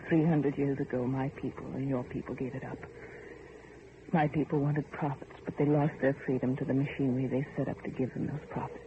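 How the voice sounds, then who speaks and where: A woman's voice: very deep voice, flat delivery, fairly quick. An anguished, earnest heiress speaks through a narrow, tinny vintage radio recording. Her delivery is theatrical and full of feeling, with faint noise underneath. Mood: fervent. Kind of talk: old-time radio drama acting.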